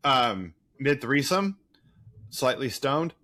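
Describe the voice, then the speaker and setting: Man, bright forward voice, podcast co-host, casual recap style, rambling, irreverent, profane, flippant. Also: medium pitch, big pitch swings, slow pace. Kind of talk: casual movie recap chat.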